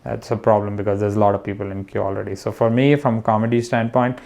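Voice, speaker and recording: A man's voice: lowish voice, steady tone, fast talker, vocal fry, darkish tone. Rambling young man from India. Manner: rambling, many restarts and fillers. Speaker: guest, comedian. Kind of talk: casual conversation.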